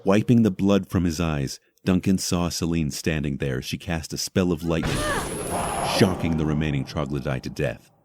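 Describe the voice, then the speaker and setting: A man narrating a fantasy audio drama in a measured, dramatic storytelling manner. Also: rumbling low, noisy background. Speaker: narrator and actor.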